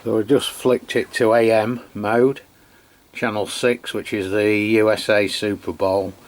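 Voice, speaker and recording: A man's voice: on the low side, level tone, a little slow. A man giving a casual, unscripted hands-on review of equipment in a matter-of-fact way. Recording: clear background noise.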